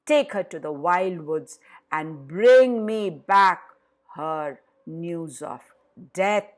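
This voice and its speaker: A wicked witch queen from a storybook, acted out by a woman. She is vain and murderous, and speaks in a commanding, emphatic way. Her lines come slowly, with big swings of pitch. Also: animated. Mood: furious, commanding.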